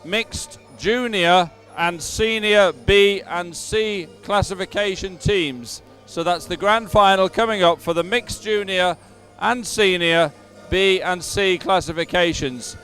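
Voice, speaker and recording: A man's voice: sits high, takes it slowly, a bit bright. A man presenting a sports event with unscripted live commentary from outdoors at the waterside. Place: outdoors, waterside at a racing venue. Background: music playing at the venue.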